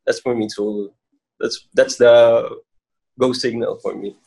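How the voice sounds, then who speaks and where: A man's voice: mid-range voice, fairly even intonation, takes it slowly, creaky, darkish tone, husky. A man in his twenties in casual chat, earnest and repeating words. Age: 20s.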